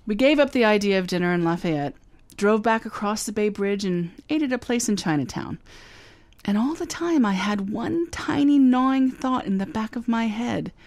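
Casting: actress as a wisecracking lady detective narrating, brisk and wry, dry close sound. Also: mid-range voice, lively intonation, a little mellow, no room sound, lightly acted. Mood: wry narration. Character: wry, confident female private detective Candy. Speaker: narrator and lead. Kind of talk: first-person radio drama narration.

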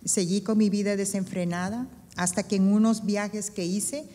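A woman's voice: medium pitch, fairly even intonation, fairly quick, warm tone. A middle-aged woman from Peru with a Peruvian Spanish accent, reading her personal testimony earnestly on a church stage. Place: church stage before an audience. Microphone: stand microphone. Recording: long reverb of a large church hall.

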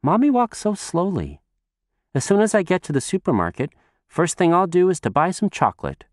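A man's voice: normal speed, no room sound, clean studio sound. A man putting on a bubbly little girl. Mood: eager. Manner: high excited childish calls. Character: lively little girl, curious and chatty.

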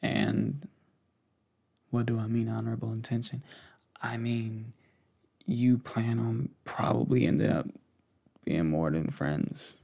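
Gravelly, creaky young American man, ASMR. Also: lowish voice, sing-song, warm tone. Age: 20s to 30s. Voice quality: gravelly, fry-laden. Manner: unhurried, hesitant, pausing talk addressed intimately to one listener. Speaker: ASMR creator. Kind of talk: ASMR roleplay, soft conversational monologue. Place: small indoor setup with cloth backdrop. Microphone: close desktop condenser mic with pop filter.

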